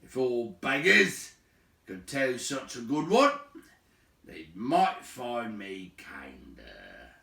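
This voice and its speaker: A gruff, booming storybook big man, as a male reader puts him on, growls out slow, emphatic lines. He is impatient and grudging as a host. The voice sits in an echoey room, back from the microphone. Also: wildly swooping pitch, pushed and bright, exaggerated voice. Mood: grudgingly pleased. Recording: roomy echo, distant from mic.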